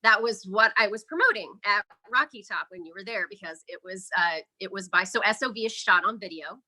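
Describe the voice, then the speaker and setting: A woman with a bright, forward voice sits at a medium pitch. She talks informally and with enthusiasm, like an interview guest promoting her own creative work. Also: a little fast. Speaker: interview guest, indie horror filmmaker and podcaster. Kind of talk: casual promotional interview chat.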